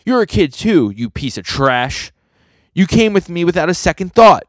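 A man voicing an excitable, nervous, self-justifying young swordsman from a manga. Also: sits high, normal speed, dry recording, pitched above the performer's own voice. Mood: defensive, agitated. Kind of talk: manga dialogue read aloud.

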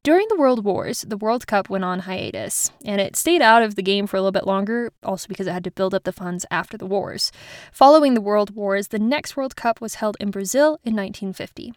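A woman's voice: mid-range voice, brisk, dry recording, studio quality. A woman hosting an educational podcast in a casual, chatty way, laughing at her own slips. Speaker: podcast host.

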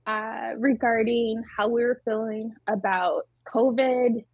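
A woman with a small, tiny voice, chatting casually as an interview guest, laughing often and pausing with fillers. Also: a little high, fairly even intonation, husky, dry recording, narrow, tinny audio. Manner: giggly, hesitant with many fillers. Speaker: muralist guest.

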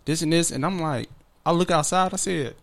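A man from Detroit hosts a relaxed podcast conversation, telling his stories in a slangy, easygoing way. His voice sits a little high for a man. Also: a little fast, gravelly. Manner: laid-back storytelling, slangy. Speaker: podcast host. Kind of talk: casual podcast chat.

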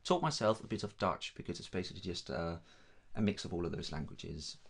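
A young man from Denmark, a native Danish speaker, chatting easily about his studies with many pauses and ums. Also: sits low, big pitch swings, vocal fry, slightly rough. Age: young adult. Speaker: drama student guest. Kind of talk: casual chat.

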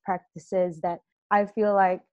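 A young woman from Singapore talks easily as an interview guest, leaning on "like" and letting her sentences fade out softly. Her audio sounds a bit dull and muffled, close and dry with no room around it. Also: medium pitch, level tone, slow pace, no echo. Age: young adult. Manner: chatty, heavy use of "like", trails off softly at phrase ends. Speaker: guest, student intern journalist. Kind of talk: casual interview chat.

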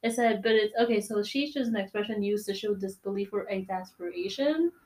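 A woman's voice: medium pitch, a little fast, a little mellow. A woman in her twenties co-hosting a podcast, chatting casually with a giggly manner and plenty of slang and fillers. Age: 20s. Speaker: podcast co-host. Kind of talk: casual chat.

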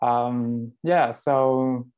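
Hesitant podcast guest on an earphone mic over a call, filler-heavy. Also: male voice, mid-range voice, steady tone, extremely slow, darkish tone, smooth, dull audio. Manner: hesitant, many fillers and "technically". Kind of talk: casual interview chat. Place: remote video call. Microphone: earphones with built-in microphone.

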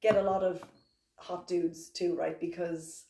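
A woman's voice: on the low side, flat delivery, unhurried, gravelly, warm tone, echoey room. An Irish woman hosting a podcast, telling stories in a casual, sweary, self-mocking comedic chat. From Ireland. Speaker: podcast host. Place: indoor room, seated on a couch.

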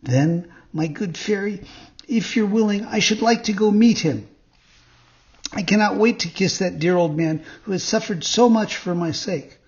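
A man voicing a naive, eager young wooden puppet boy in a storybook reading, earnest and excitable. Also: sits high, moderate pace, highs cut off, voice pushed up.

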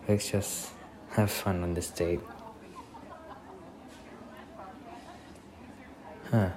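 Man playing a very low-voiced, tender young boyfriend. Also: lightly acted. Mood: reassuring. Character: protective, tender, popular young boyfriend on a date. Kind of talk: ASMR boyfriend roleplay. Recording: clearly noisy recording with ambient sound.

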